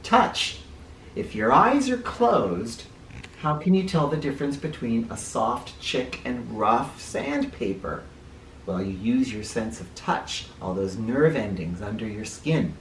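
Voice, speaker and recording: Animated, slow-paced man reading to children. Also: medium pitch. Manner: playful, explanatory, addressing young listeners with questions. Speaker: children's book reader and teacher. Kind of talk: animated read-aloud with asides for children. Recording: roomy, distant mic, faint noise.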